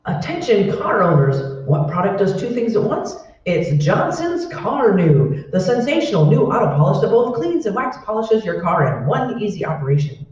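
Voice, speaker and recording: A performer playing an upbeat 1940s radio commercial announcer, giving an emphatic scripted sales pitch. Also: very animated, moderate pace, hardly any pauses, a little mellow, lightly acted. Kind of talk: 1940s radio advertising read. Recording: echoey room, distant mic, muffled.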